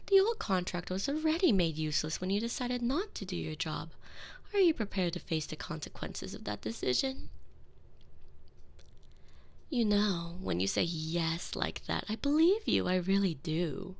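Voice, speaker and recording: A woman voicing a commanding, possessive female vampire mistress in a binaural ASMR audio roleplay, speaking slowly and domineeringly, often whispering close to the ear. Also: medium pitch, very animated, vocal fry. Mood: possessive, menacing. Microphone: binaural microphone.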